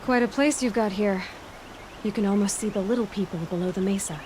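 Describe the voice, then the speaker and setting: A woman's voice: medium pitch, a little fast, background noise, lightly acted. A woman playing a determined, guarded young hunter in a video game, speaking directly with dry skepticism. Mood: dry, curious.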